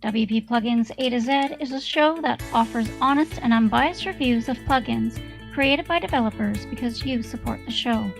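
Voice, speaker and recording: A woman's voice: a little high, moderate pace, gravelly, faint music behind. A woman announcer reading a scripted promotional podcast outro in an instructional manner.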